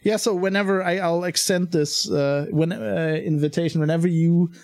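A man with a German accent hosting a podcast in casual conversation, blunt and quick to laugh, swearing now and then. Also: fairly high pitch, fairly even intonation, a little mellow, no room sound, clean studio sound. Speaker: podcast host.

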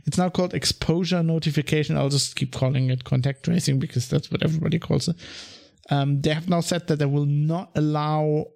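A man's voice: a little high, fairly even intonation, brisk, vocal fry, darkish tone. Rambling man with a German accent. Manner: rambling, many ums and restarts. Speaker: podcast host. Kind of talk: casual solo podcast monologue.

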